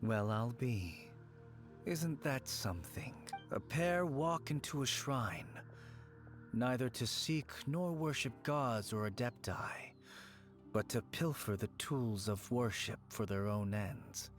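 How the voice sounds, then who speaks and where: Man as a slow, knowing shrine keeper, polite and formal, with faint game music. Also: mid-range voice, close to an everyday voice. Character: mysterious, courteous old shrine keeper. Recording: game audio under background music.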